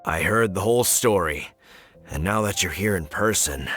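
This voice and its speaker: A man playing a stern, gruff leader of hunters in a video game, with a deep voice and measured delivery. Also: a bit bright, soft background music. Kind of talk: video game character acting.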